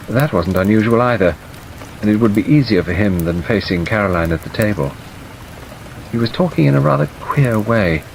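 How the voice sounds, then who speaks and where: Low-voiced male audiobook narrator, rain behind. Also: poor audio. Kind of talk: scripted dramatic narration. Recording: steady rain noise over the voice. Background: rain ambience.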